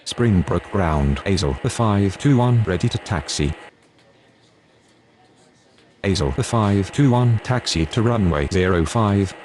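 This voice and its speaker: Low male voice, formal air traffic radio calls, clipped phraseology, steady engine-like noise behind, actor in aviation game. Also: measured pace. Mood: formal, procedural. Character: male airline pilot and controller on radio, procedural.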